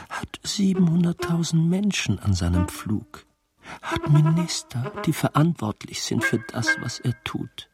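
A man playing a dramatic orator who indicts prince and nobles, declaiming emphatically in a musical radio play. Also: fairly high pitch. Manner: emphatic, swinging from hushed to loud.

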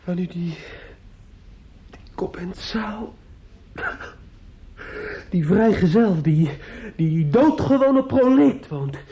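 An actor playing a grieving, bitter and desperate young man in a radio drama, halting and often dropping into whispers. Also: high voice, animated, mellow, heavily acted. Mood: bitter, broken. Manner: halting, repetitive, drops into whispers. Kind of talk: radio drama monologue acting. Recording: dull, noisy old radio recording.

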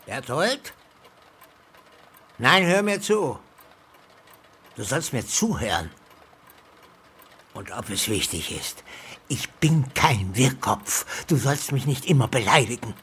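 Creaky, animated old professor. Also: male voice, medium pitch, exaggerated voice. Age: older. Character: old emeritus professor, sly, cackling, seemingly confused. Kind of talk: German radio drama acting.

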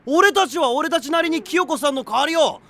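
An actor voicing a loud, hot-headed teenage boy in an anime audio drama, brash and rough-spoken. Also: sits high, expressive, brisk. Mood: fired up.

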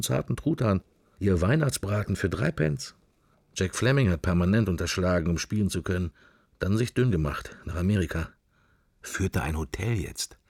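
A man's voice: sits low, creaky, a little mellow, no echo, heavily acted. An actor playing a wry middle-aged everyman musing to himself in clipped fragments in a radio play. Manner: clipped, wandering interior monologue. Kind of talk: intimate literary radio play monologue.

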